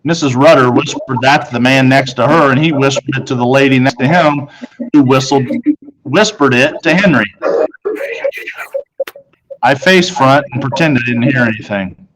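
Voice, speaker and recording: A man's voice: mid-range voice, moderate pace, pauses often, a crowd in the background, low-quality recording. A man narrating a children's novel aloud in the first person, as a storybook narrator.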